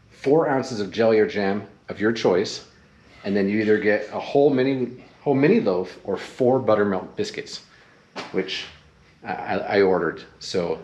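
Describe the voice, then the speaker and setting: A man in his forties talking casually to a handheld camera on a farm, relaxed and chatty. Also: on the low side, husky. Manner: relaxed, chatty, self-correcting. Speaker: travel vlogger. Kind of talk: casual vlog talk to camera. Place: outdoors on a farm and inside a trailer. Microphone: handheld action camera at arm's length.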